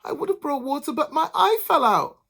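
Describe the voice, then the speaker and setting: A woman's voice: high-pitched, animated, brisk, creaky, a bit bright, pitched above the performer's own voice, full character voice. A woman voicing a helpless young bride. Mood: plaintive.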